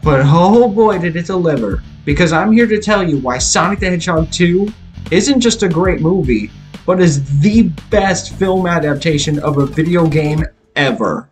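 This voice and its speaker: Man, fairly high voice, scripted review narration, measured and articulate, emphatic, music in the background. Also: lively intonation. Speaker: video reviewer. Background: rock guitar music.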